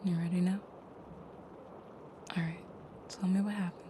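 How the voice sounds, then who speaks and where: Woman acting a low, whispery vampire girlfriend, noisy recording. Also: level tone, moderate pace, lightly acted. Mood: tender, soothing. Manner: soft, breathy murmuring, often whispered, soothing. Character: female vampire girlfriend, protective and tender, menacing in nightmare. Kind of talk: intimate audio roleplay acting.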